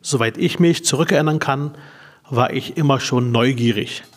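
A man's voice: mid-range voice, normal speed. A man in his forties from Berlin, a politician hosting an interview, friendly and curious as he asks his questions. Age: 40s. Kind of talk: interview with a casual opening.

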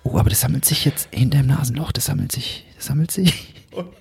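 A husky-voiced man spins a long anecdote in casual chat. His delivery is animated, and he slips into hushed whispers when he plays his own inner thoughts. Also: medium pitch, brisk.

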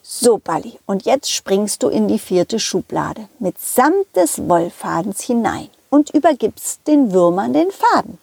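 A woman's voice: medium pitch, sing-song, measured pace, gravelly, hardly any pauses, slightly rough, dry recording, full character voice. A woman voicing a loyal, confident, encouraging male dog who talks kindly to friends in a children's audio play. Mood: instructing. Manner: encouraging, addresses listeners and companions warmly. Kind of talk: children's audio play, told in first person.

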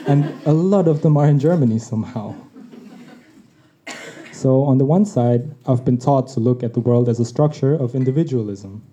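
A man whose mother tongue is Tagalog, reading a personal essay on his podcast in a reflective, measured way. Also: medium pitch, warm tone. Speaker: podcast host. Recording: dull lo-fi recording.

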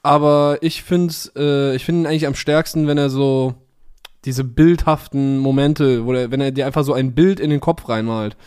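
A man's voice: mid-range voice, fairly even intonation, normal speed, a little mellow, clean tone. A man co-hosting a casual music podcast, joking and rambling with many fillers. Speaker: podcast co-host. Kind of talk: casual music review chat.

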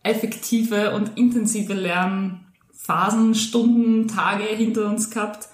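A woman's voice: medium pitch, little pitch movement, slow, echoey room. A woman, co-hosting a podcast, talking in long rambling sentences. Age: 20s. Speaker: podcast co-host. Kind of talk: casual chat.

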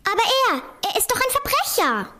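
A woman's voice: extremely high pitch, wildly swooping pitch, fairly quick, pushed and bright, slight background noise, exaggerated voice. A female performer playing a curious young child who questions a parent in a radio play. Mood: curious, insistent.

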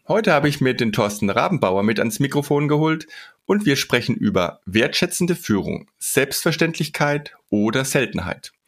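A man hosting a podcast and interviewing his guest in a conversational, somewhat hesitant way, with fillers. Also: medium pitch. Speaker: podcast host, HR blogger.

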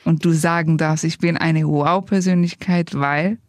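A woman's voice: low voice, steady tone, measured pace, dry recording. Effusive woman hosting, close dry sound. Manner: effusive, complimentary. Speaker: podcast host. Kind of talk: conversational interview hosting.

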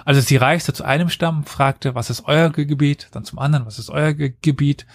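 A man's voice: fairly high pitch, fairly even intonation, a little fast, dry recording. A man hosting a casual history podcast, eagerly telling a story to two co-hosts and acting out quotes. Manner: explains eagerly, acts out quotes, reads diary aloud.

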